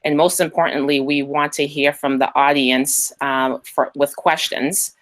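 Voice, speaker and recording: A woman's voice: low voice, fairly even intonation, a little slow. A woman moderating a virtual panel on a video call, friendly, with many fillers.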